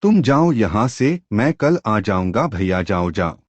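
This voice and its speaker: A man's voice: lowish voice, very animated, a little slow, no echo, lo-fi sound. A man playing a sceptical, plain-spoken village mason in a folk tale, questioning and grudgingly agreeable. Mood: bewildered.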